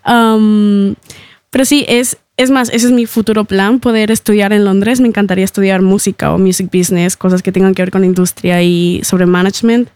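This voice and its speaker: A woman's voice: mid-range voice, steady tone, moderate pace, darkish tone, smooth, no echo. A young woman from Ecuador who grew up in Spain, talking casually and chattily as a guest in a radio interview. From born in Ecuador, grew up in Spain from age eight. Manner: chatty, giggles often while talking. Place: radio studio, dry close sound.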